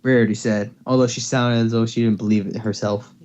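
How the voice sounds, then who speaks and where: A man's voice: mid-range voice, flat delivery, brisk, a little mellow, highs cut off. A young man giving a casual amateur reading of a story, playful and stumbling, with offhand asides. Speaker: reader. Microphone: headset or desktop mic on voice call.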